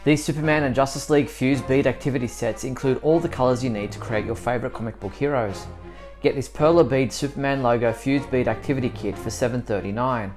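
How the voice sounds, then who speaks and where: A man's voice: medium pitch, a little fast, darkish tone, faint music behind. Male news bulletin host. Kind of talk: scripted news bulletin narration.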